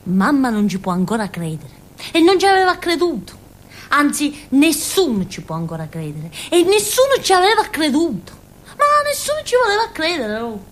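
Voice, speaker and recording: An actress playing a naive, giggly village outcast woman with a Calabrian accent, in a halting, repetitive theatrical monologue. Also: high voice, very animated. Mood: incredulous. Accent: southern Italian, Calabrian.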